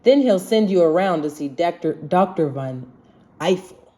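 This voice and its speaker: Female storybook reader, soft-edged mellow voice, highly varied intonation, expressive singsong delivery of rhyming verse for kids. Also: normal speed. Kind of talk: children's picture book read-aloud in rhyme.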